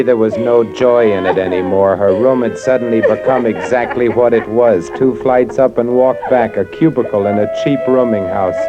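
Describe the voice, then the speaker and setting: A lowish man's voice in a vintage radio play, an actor acting it out: a cold, cynical newspaperman with terse, bitter noir delivery. Music runs strongly behind him, and the old recording sounds muffled. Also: lightly acted. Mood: bleak, weary. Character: cold, cynical, hard-boiled male newspaper columnist. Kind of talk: 1940s radio drama acting.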